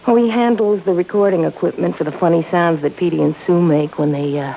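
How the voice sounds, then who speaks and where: An actress playing an anxious, hesitant young woman in an old time radio drama, halting and earnest. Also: fairly low pitch, gravelly. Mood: worried, earnest. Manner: halting, earnest, breaking off mid-thought. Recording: dull, faintly hissy old radio recording.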